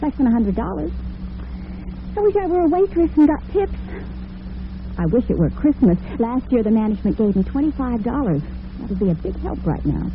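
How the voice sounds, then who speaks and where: Earnest, caring young daughter, fairly high voice, old radio drama, faint hiss. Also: female voice, big pitch swings, fairly quick. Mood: worried, caring. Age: young adult. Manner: earnest, affectionate. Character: earnest, devoted working-girl daughter with money worries. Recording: narrow-band vintage radio with slight noise.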